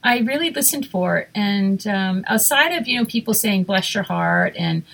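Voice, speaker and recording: Brief: woman, Massachusetts accent, casual storyteller, lively quoted asides. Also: mid-range voice, normal speed, creaky, poor audio. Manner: animated, storytelling, acts out quotes. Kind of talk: casual chat.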